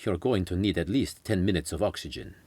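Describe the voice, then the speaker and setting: A man voicing a calm, formal male doctor in his thirties who speaks in clipped, reassuring sentences. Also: low voice, brisk, slightly rough, dry recording.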